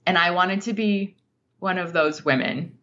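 Slow-talking American woman, slightly muffled. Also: lowish voice, fairly bright tone. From Utah, United States. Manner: thoughtful, halting, searching for words. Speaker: podcast guest, nonfiction writer. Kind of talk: reflective interview conversation.

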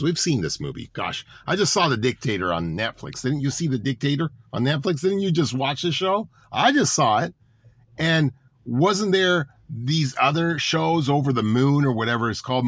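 A man's voice: mid-range voice, a bit bright, no room sound, studio quality. A man delivering a political monologue to his audience, sarcastic and full of rhetorical questions. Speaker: political commentator.

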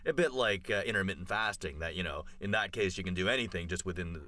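A man's voice: low-pitched, lively intonation, quick pace, pushed and bright. A man chatting casually on a podcast, telling a long story full of fillers and restarts. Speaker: podcast co-host. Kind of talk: casual chat.

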